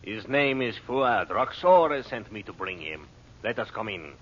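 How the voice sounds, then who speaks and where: Casting: devoted Bedouin confidant, ornate and deferential, expressive pitch, muffled vintage radio sound with light hiss. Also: male voice, moderate pace. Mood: guarded, formal. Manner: solemn, deferential, formal ornate phrasing. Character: loyal, formal Bedouin ally of a magician, male. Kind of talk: old-time radio adventure acting. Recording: dull, noisy old radio recording.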